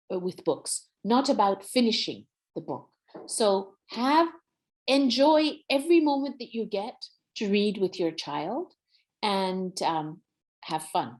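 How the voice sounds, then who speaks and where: Animated Nepali-accented woman presenting, higher voice. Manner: instructive, emphatic, encouraging. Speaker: workshop presenter. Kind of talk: educational talk and Q&A on parenting.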